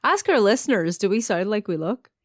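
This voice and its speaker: A woman's voice: medium pitch, big pitch swings, a little fast, no room sound, studio quality. A woman co-hosting a podcast in casual chat, chatty with many fillers and frequent laughter. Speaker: podcast co-host. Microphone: headphones, studio mic.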